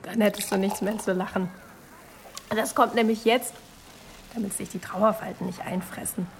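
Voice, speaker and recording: A woman's voice: mid-range voice, fairly even intonation, normal speed, slight background noise, lightly acted. A woman acting in a radio drama as a cheerful young best friend, playful, teasing and consoling.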